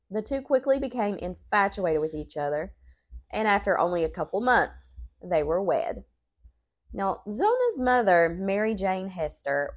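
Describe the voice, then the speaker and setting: A woman, co-hosting a podcast, nasal and congested from a sinus infection, chatty and quick to laugh. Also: medium pitch. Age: 30s. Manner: chatty, laughs often, says y'all. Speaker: podcast co-host. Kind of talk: casual chat and storytelling.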